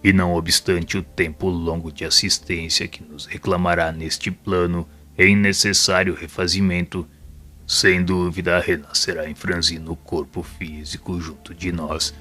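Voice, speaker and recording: An actor playing a solemn, repentant spirit mentor in an audio drama, speaking in a grave, formal and measured way. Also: male voice, low-pitched, pushed and bright. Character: solemn, repentant male spirit assistant and mentor, a former doctor. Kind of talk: audio drama dialogue, formal literary monologue.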